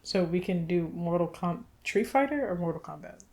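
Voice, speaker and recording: A woman's voice: fairly low pitch, animated, normal speed, gravelly, a little mellow. A woman co-hosting a casual chat about video game movies, keeping things organized, teasing the others and laughing easily. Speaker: podcast co-host.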